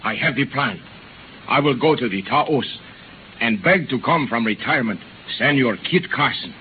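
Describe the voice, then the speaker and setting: A man's voice: mid-range voice, lively intonation, normal speed, narrow, tinny audio, natural voice. An actor playing a devout, resolute, fatherly bishop with solemn oratory in a hissy 1930s radio drama.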